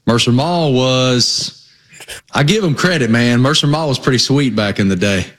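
A man's voice: mid-range voice, moderate pace, a bit bright. A man with a Southwest Virginia accent co-hosting a sports radio show, chatting casually with folksy, emphatic phrasing. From Southwest Virginia, United States. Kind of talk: casual chat.

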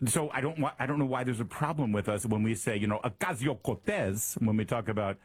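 A middle-aged Midwestern man with a slightly husky voice delivers an opinionated political monologue. He talks in a sarcastic, mocking way, often stumbling and repeating words as he starts a sentence. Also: medium pitch, animated, a little fast. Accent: Midwestern American. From American Midwest. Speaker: radio and podcast host.

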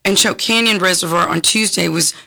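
A woman with a raspy, hoarse voice, a guest chatting casually on a radio talk show, clearing her throat often and restarting her sentences. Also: fairly low pitch, brisk, pushed and bright. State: hoarse from talking a lot. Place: radio studio.

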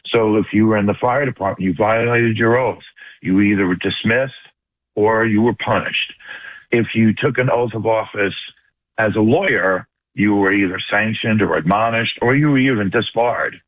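A man's voice: lowish voice, normal speed, dry recording. An older man with a New York accent, calling in to a radio show to make his point. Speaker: radio phone-in caller, retired firefighter. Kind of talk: making a point on a call-in show. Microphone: telephone. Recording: tinny narrow phone line, dry.

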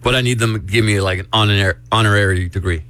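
A man's voice: fairly low pitch, fast talker, a bit bright. A man hosting a casual radio morning show, telling stories and laughing often.

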